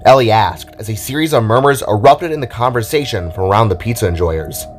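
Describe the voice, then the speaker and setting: A man's voice: on the low side, animated, a little fast. Man narrating a dramatic story over music. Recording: music behind the voice.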